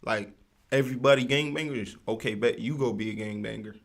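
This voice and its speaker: A man's voice: medium pitch, brisk, creaky. A man hosting a livestream in casual, rambling slang with lots of fillers. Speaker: livestream host.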